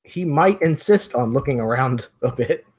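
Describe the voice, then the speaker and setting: A man acting as game master, improvising narration and giving players instructions in measured short phrases with pauses. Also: mid-range voice, expressive, husky.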